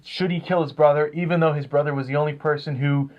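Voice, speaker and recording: A man's voice: a little high, steady tone, fast. A man co-hosting a comics review show, chatting casually with hesitant, rambling phrasing. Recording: dull lo-fi sound.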